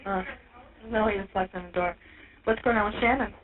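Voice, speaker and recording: A woman's voice: mid-range voice, steady tone, a little fast. A woman in a casual, blunt phone conversation from jail, heard over a narrow phone line. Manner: blunt, profane. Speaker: inmate phoning from jail.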